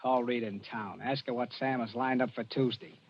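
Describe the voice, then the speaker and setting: An actor playing a desperate, touchy small-time American gangster in a 1940s radio drama, slangy and edgy. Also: male voice, mid-range voice, steady tone, fairly quick, lightly acted. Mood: cold, threatening. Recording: dull, noisy old radio recording.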